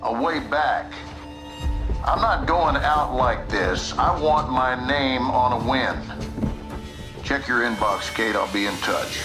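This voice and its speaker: A man playing a smooth, scheming senior military commander, measured and persuasive. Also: mid-range voice, close to an everyday voice. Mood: calm, calculating. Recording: roomy echo under clear music.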